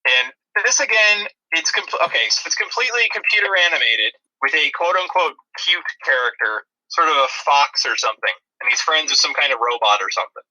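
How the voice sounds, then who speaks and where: A man's voice: fairly high pitch, lively intonation, brisk, hardly any pauses, bright, forceful tone, low-quality recording. A man co-hosting a movie review podcast, chatting in a dry, disparaging way. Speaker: podcast co-host.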